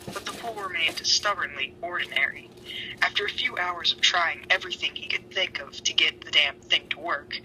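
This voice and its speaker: A woman's voice: on the low side, bright, forceful tone. A woman reading a story aloud in an amateur dramatic style, with sweary, self-mocking asides. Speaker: fan fiction reader. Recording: noisy home recording.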